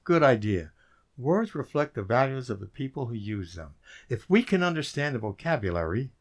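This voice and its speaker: A man's voice: medium pitch, very animated, normal speed. A man in a community radio play, playing a weary, wry government code breaker who reflects on his story. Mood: wry, resigned. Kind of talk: community theater radio drama, narration and dialogue. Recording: roomy, distant stage sound with noise.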